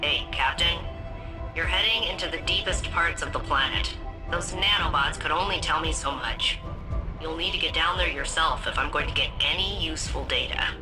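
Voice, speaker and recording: A woman's voice: low-pitched, moderate pace, music in the background, close to an everyday voice, poor audio. A woman playing a wry female starship AI in a video game, talking informally. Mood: casual. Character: wry, casual female artificial intelligence of a starship. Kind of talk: scripted game dialogue.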